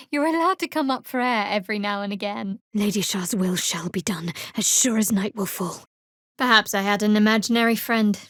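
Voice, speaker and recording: A woman playing a guarded, wry, devout young cleric of a dark goddess in a video game, speaking in a measured way. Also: medium pitch, dry recording, studio quality, natural voice. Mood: measured, intimate. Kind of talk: video game character voice lines.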